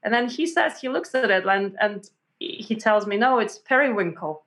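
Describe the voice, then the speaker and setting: Animated woman guest. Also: medium pitch, normal speed. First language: Russian. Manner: animated, many restarts and fillers, acts out quotes. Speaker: author guest. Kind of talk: conversational interview storytelling.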